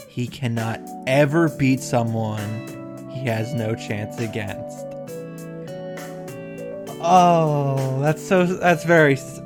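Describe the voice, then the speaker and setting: A man giving blunt, mocking, sweary reaction commentary on a visual novel. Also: medium pitch. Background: game music.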